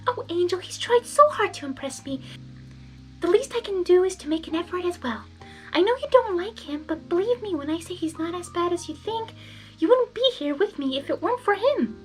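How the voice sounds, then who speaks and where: A woman voicing a shy, gentle young female pegasus pony who talks in a soft, fretful, earnest way, in a storybook reading. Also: extremely high pitch, expressive, brisk, soft background music, pitched above the performer's own voice. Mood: gentle pleading.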